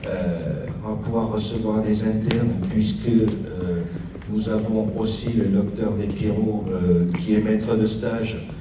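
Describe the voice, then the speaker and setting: A low-pitched male local official addresses a gathering in an informal speech. His delivery is halting, full of hesitant pauses. He is picked up from a distance in a roomy, echoing space with clear background noise. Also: steady tone. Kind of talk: informal public speech giving a progress report. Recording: roomy echo, distant mic, noisy.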